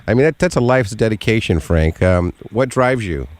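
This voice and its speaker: A man with a fairly low voice and very animated intonation co-hosts a radio chat. He talks colloquially and jokily, doubling back on his words as he gets going. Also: normal speed. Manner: jokey, colloquial, repeats words as he starts. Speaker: radio co-host interviewer.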